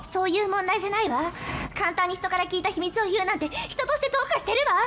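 Woman acting very high, haughty schoolgirl. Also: fast. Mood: indignant. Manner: haughty, prickly, feminine formal phrasing. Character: prim, haughty, easily offended high school girl. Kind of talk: radio drama acting. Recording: loud music and crowd chatter behind.

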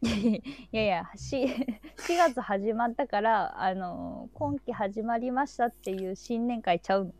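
Creaky-voiced woman in joking casual chat. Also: fairly high pitch, unhurried, few pauses, a little mellow, dry recording. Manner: joking, teasing banter. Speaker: host. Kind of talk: casual chat on an audio livestream.

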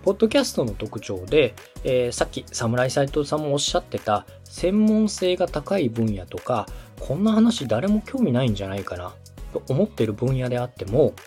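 A man's voice: mid-range voice, a little mellow. A man hosting a podcast, explaining step by step in a polite, instructive coaching style. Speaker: podcast host and radio director giving advice.

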